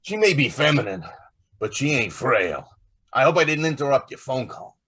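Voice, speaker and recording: Guy doing pushy old radio newspaperman, husky, wisecracking, voice jumps around a lot. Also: mid-range voice, very animated, moderate pace, a bit bright. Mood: wry, scheming. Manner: slangy, wisecracking. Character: brash, pushy male newspaper reporter, wisecracking and quick-thinking. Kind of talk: old-time radio drama acting.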